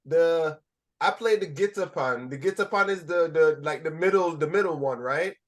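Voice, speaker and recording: A man's voice: a little high, normal speed. A man doing a casual interview, encouraging and putting in short agreements.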